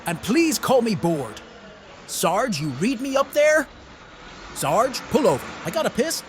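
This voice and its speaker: A man's voice: very high-pitched, very animated, measured pace, exaggerated voice. A man playing a bored, crude soldier with a wisecracking manner in an audio comic. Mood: bored, sardonic. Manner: wisecracking, crass. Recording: very noisy, vehicle effects.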